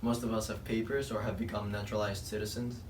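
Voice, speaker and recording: A man's voice: lowish voice, level tone, fast talker. Teenage boy, echoey and noisy. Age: teens. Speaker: student panelist. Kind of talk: casual panel talk. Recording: echoey room, noisy, distant.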